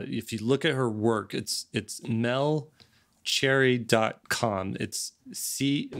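A middle-aged man hosting a casual podcast, thinking aloud in a halting way, close to a desk microphone. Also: medium pitch, vocal fry. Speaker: podcast host. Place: home studio, on a video call. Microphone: large desk microphone, close.

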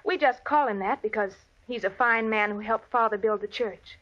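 A woman's voice: fairly high pitch, normal speed, a bit bright. A woman playing an earnest, resolute young daughter of a slain preacher, with an American accent, in an old radio western. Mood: polite, sad. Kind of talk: 1940s-50s radio western acting. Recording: dull vintage radio recording.

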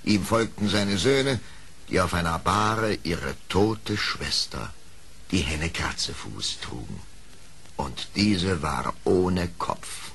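A man's voice: sits low, lively intonation, slow pace, creaky, a bit bright, a little hiss or hum. A man narrating a children's radio play in a scripted storybook style.

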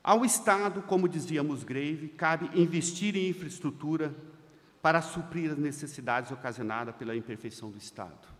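A middle-aged man from Brazil delivers a prepared address at a panel table, speaking into a desk microphone. He leans into key phrases, growing louder and slowing to stress his points. His voice carries a long hall reverb from the large conference room. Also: a little high. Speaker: seminar speaker. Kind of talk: formal conference speech read from notes. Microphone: desk microphone on a stand.

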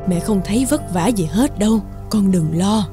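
A woman's voice: mid-range voice, expressive, moderate pace, music in the background, natural voice. A woman voicing a kind, lonely older peasant mother in a cartoon fairy tale, fussing and soothing as she talks. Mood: tender. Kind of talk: animated fairy tale voice acting.

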